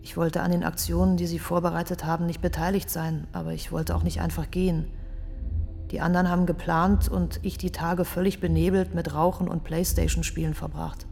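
Muddled evasive defendant in a radio drama, subdued gravelly voice, mellow tone, big hall reverb. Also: male voice, fairly high pitch, flat delivery, fairly quick. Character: defendant in a terror trial, evasive, muddled, self-excusing man. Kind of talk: radio play courtroom testimony. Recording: long hall reverb, music underneath.

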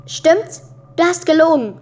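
A woman's voice: very high-pitched, expressive, measured pace, a little mellow, slight background noise. A woman playing a wary but bold, questioning eleven-year-old abducted girl in a German radio drama.

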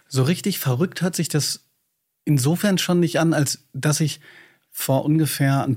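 A raspy-edged man from the Ruhr, the host you'd hear on a relaxed interview podcast, meandering his way towards each question. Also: medium pitch. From Ruhr area, Germany. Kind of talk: casual conversational interviewing.

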